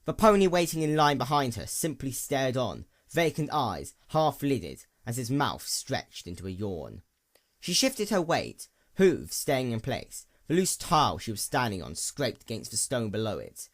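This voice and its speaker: A man narrating scripted fiction as an audiobook, with a dramatic, deliberate delivery. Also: mid-range voice, sing-song.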